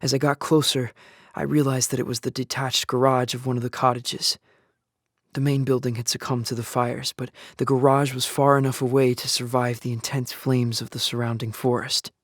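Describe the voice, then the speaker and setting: A man's voice: medium pitch, level tone, moderate pace, dry recording, studio quality, natural voice. An actor playing a young male survivor of an apocalypse in a first-person audio drama, earnest, shaken and grieving for his father. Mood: somber, reflective. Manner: somber, earnest storytelling with anguished outbursts. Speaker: lead actor and narrator. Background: music.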